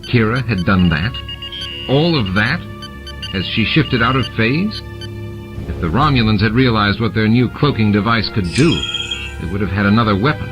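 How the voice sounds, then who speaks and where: Low-voiced male audiobook narrator over music. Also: expressive, normal speed, highs cut off. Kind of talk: scripted dramatic fiction narration. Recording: music bed behind the reading. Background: music and added sound effects.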